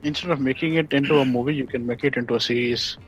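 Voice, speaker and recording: A man's voice: medium pitch, steady tone, fast talker, poor audio. A man in a casual discussion, earnestly repeating himself to press his point. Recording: faint music behind the voice.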